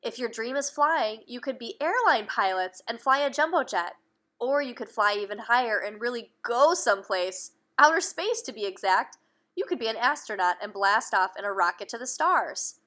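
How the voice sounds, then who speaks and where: A woman's voice: on the high side, lively intonation, moderate pace, sharp tone. A woman voicing a friendly male bear professor in a storybook, eager, encouraging and full of explanations.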